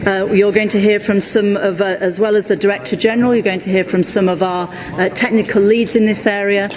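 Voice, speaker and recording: A woman opens a formal briefing in a halting way, with many hesitations. Her voice is mid-pitched, and there is clear noise in the recording. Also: fairly even intonation. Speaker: official opening a technical briefing. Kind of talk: semi-formal press briefing introduction.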